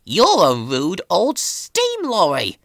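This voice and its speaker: A woman's voice: fairly low pitch, wildly swooping pitch, slow pace, gravelly, no room sound, clean studio sound, voice pushed up, exaggerated voice. A woman voicing a cheeky, touchy little male tank engine who exclaims his lines in a children's storybook. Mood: indignant.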